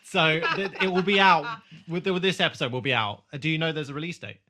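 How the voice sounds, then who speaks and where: A man's voice: on the high side, animated, quick pace, a crowd in the background. A man hosting a casual podcast discussion, talking in a loose, hesitant way with frequent asides. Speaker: podcast host.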